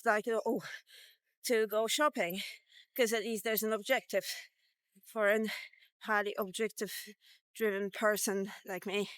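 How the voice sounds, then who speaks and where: A woman's voice: medium pitch, dry recording. A woman in her fifties talking candidly in a rambling vlog while out walking, with many fillers. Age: 50s. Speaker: vlogger. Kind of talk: casual walk-and-talk vlog. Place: outdoors, walking.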